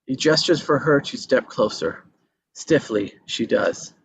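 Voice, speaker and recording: A man's voice: medium pitch, animated, unhurried, vocal fry, a bit bright, slightly rough, rough recording. A man reading stage directions as scripted narration at a live table read. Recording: faint background noise.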